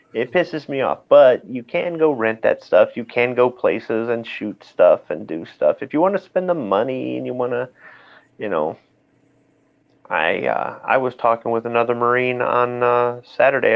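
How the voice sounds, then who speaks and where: A man with a medium-pitched voice speaks through muffled, lo-fi audio. He chats casually and plainly, with a blunt turn of phrase. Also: gravelly. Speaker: military veteran guest. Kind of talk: casual conversation.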